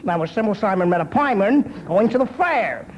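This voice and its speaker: A man's voice: high-pitched, lively intonation, normal speed. A man voicing a boastful cartoon duck who poses as a smarmy TV host, slurred and quacking. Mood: indignant, flustered. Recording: noisy broadcast, audience behind.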